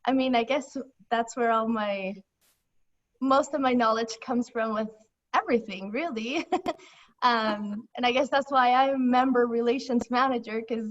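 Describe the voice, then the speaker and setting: A young woman from Ecuador talks casually about her job, laughing easily and filling pauses with um and like. Her slightly high voice comes through a phone line, sounding muffled and set back from the mic. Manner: bubbly, laughs often, many fillers. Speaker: guest, foundation staff member. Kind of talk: casual interview over a phone call. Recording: distant, muffled phone audio.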